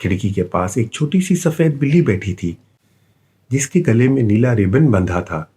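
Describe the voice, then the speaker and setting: A man narrating a scripted children's bedtime story with an expressive storytelling delivery. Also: medium pitch, moderate pace, husky.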